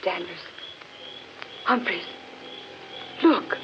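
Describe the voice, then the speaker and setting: A woman's voice: fairly low pitch, expressive, drawn-out words, a bit bright, exaggerated voice. An actress playing a frightened, anxious woman lost in a haunted forest, in melodramatic 1940s radio style. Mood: alarmed. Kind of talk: 1940s radio horror acting. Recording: muffled, noisy 1940s radio recording.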